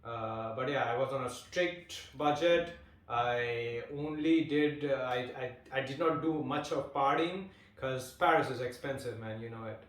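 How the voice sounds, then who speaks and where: A man in his twenties from India, chatting casually as an interviewee, relaxed and hesitant with lots of fillers and restarts. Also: mid-range voice, measured pace, far from the mic. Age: 20s. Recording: echoey room, voice off-mic.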